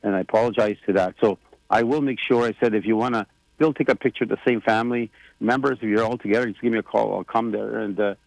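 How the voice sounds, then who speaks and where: A man in his sixties talks in a loose, rambling way, full of fillers and restarts. His voice has a gravelly, fried edge, and the sound is dry and close. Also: on the low side, fairly even intonation, fast talker, no room sound, low-quality recording. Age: 60s. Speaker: president of a government, radio guest. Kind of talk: casual radio chat.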